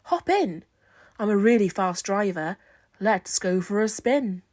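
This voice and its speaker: A woman's voice: mid-range voice, lively intonation, measured pace, a little mellow, no room sound, clean studio sound. A woman voicing a boastful, pushy male scarecrow in a storybook, with a pompous, showy manner. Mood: smug, forward.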